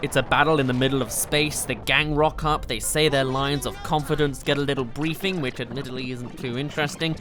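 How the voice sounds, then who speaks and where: A narrator presenting a scripted countdown review with emphatic, expressive delivery. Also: mid-range voice, fairly quick, few pauses, over music. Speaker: video game reviewer, host.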